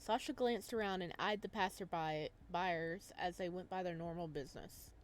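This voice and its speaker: A woman's voice: lowish voice, normal speed. Woman doing playful let's-play commentary. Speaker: gaming video host. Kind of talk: casual let's-play commentary, reading game text aloud.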